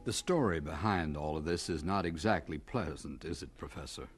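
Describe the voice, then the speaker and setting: An actor playing a quiet, sympathetic questioner in a radio drama, gentle and measured. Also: male voice, a bass voice, loud music behind the voice. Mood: gently probing.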